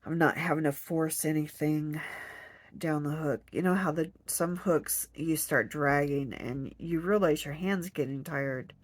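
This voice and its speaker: A woman's voice: sits low, fairly even intonation, a little slow, no echo. A woman crocheting on camera, a little stuffed up, chatting to herself and counting stitches under her breath. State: stuffed up nose. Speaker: crochet vlogger. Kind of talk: casual hands-on crafting demo.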